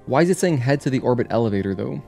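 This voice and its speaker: A man's voice: mid-range voice, animated, rapid-fire, vocal fry, a little mellow. A man doing casual, chatty gameplay commentary as a livestreamer, thinking aloud as he goes. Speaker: video game livestreamer. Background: game music.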